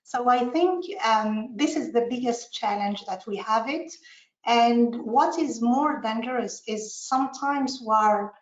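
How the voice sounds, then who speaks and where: A woman's voice: a little high, a little slow. A woman speaking on a webinar panel as a panelist, telling her story with many fillers and slightly non-native phrasing. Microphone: video call. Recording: echoey room, muffled online audio.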